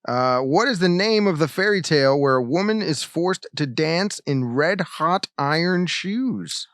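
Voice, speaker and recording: Animated, easygoing man, dry close sound. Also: a little high, unhurried, dry recording. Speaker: podcast co-host asking trivia questions. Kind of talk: casual chat.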